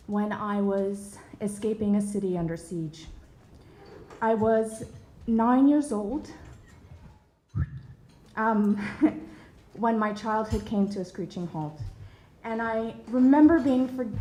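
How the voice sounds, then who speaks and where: A woman in her thirties from Bosnia and Herzegovina, telling a personal story in an earnest, measured way with soft hesitations. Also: medium pitch, echoey room, poor audio. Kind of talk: personal story told to an audience.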